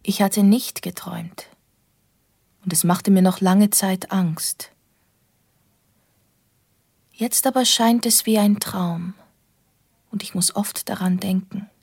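Breathy, wistful young woman. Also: medium pitch, steady tone, slow, pauses often, darkish tone, dry recording, studio quality, heavily acted. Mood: haunted, pensive. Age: young adult. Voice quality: breathy, half-whispered. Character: lonely, wistful young woman recalling her childhood in a castle. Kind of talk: intimate first-person radio drama narration.

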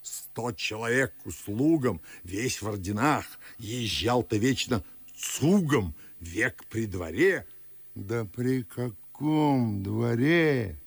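An actor playing a pompous, excitable old Russian nobleman in a verse radio play, speaking in a gravelly, husky voice and savouring his words. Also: medium pitch, takes it slowly, exaggerated voice. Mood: boastful, reminiscing. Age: older. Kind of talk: theatrical verse radio drama.

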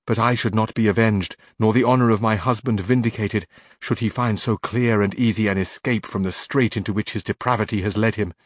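A man's voice: medium pitch, dry recording, clean studio sound, natural voice. A man reading the part of a proud, vengeful noblewoman in a storybook, impassioned and declamatory.

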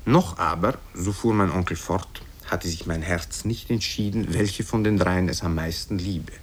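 A man's voice: deep voice, unhurried, slight background noise. A man voicing a wistful old boyar uncle who tells rambling, sententious tales in a radio storytelling style. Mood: musing, animated.

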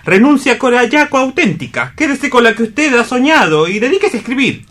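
A man's voice: very high voice, sing-song, a little fast, pushed and bright, full character voice. A man playing an eloquent, persuasive newspaperman in a radio theatre reading, emphatic and theatrical, with an accent from Spain. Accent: Spanish (Spain). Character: eloquent newspaperman, worldly, persuasive male mentor.

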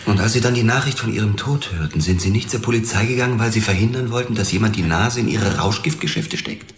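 A man's voice: lowish voice, fast talker, lightly acted. An actor playing a driven, obsessive police detective who narrates his investigation in a terse, intense radio drama style. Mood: probing. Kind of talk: hardboiled first-person radio drama narration. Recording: music under the voice.

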